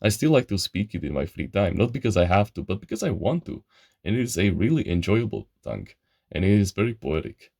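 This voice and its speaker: A young man with a lowish voice tells a story from his own life in loose, slangy chat and keeps calling the listener bro. He is from Eastern Europe and speaks English as a second language. The sound is dry and close, with no room echo. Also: sing-song, brisk, gravelly, darkish tone. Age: young adult. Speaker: channel host. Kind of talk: casual storytelling monologue.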